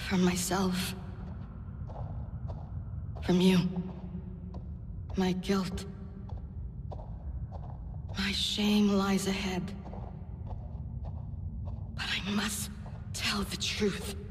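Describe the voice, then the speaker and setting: A haunted alien elder in a video game, solemn and burdened with guilt. She speaks extremely slowly and evenly, in a long echoing reverb with faint noise. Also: mid-range voice, flat delivery. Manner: solemn, deliberate. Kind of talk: video game character monologue.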